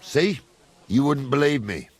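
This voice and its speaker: An actor playing a gruff, fatherly middle-aged captain of the guard, guarded and plain-spoken, with a husky voice, in a medieval game. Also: mid-range voice, animated, a little slow, dry recording. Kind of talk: video game dialogue acting.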